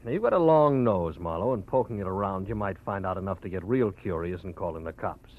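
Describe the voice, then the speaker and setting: Low, blustering mob boss, thin old radio sound. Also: male voice, expressive, brisk, narrow, tinny audio. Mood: bargaining. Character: smooth male gangster boss, wary and bargaining. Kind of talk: 1950s radio drama acting.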